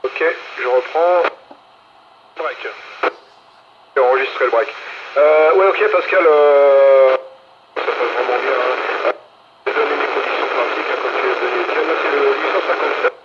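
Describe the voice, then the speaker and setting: A man's voice: mid-range voice, normal speed, pauses often. A man talking casually as an amateur operator over a two-way radio. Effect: two-way radio transmission. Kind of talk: brief casual radio contact exchange. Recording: muffled radio, very loud static noise.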